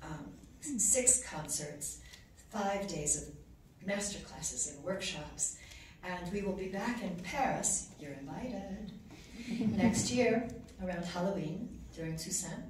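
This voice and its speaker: A woman's voice: on the low side, expressive, slow pace, darkish tone. A woman giving an award acceptance speech at a podium in an ornate reception room, recorded from far off. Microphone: podium mic, recorded from far off. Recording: echoey room, far from camera, noisy.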